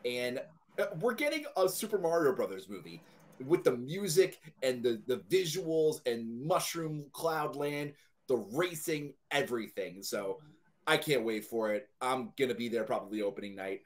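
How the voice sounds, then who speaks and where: Male podcast panelist, medium pitch, enthusiastic and conversational. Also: animated, moderate pace. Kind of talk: casual chat.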